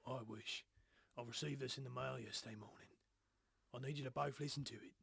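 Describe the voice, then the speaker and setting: A grave, secretive radio boss played by a male actor in an audio drama. He is terse and hushed, with an extremely breathy, husky voice full of gravelly creak. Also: medium pitch, steady tone, fairly quick, no room sound, heavily acted. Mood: grave, insistent.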